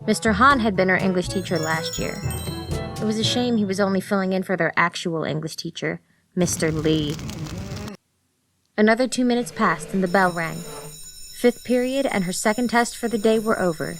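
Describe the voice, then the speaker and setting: Lady doing expressive audiobook narration, clear music playing under her. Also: mid-range voice, moderate pace. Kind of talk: scripted story narration.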